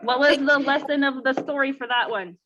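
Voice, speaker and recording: A woman's voice: high-pitched, fairly even intonation, fairly quick, lo-fi sound, crowd noise. A woman reading a story aloud to young children and talking with them about it.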